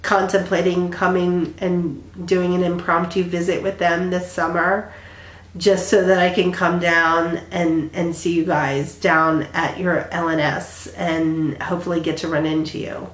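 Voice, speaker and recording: A woman's voice: on the low side, flat delivery, measured pace. Congested woman vlogging in an echoey room. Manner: rambling, many ums and restarts. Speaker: flosstube vlogger. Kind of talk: casual chat to camera.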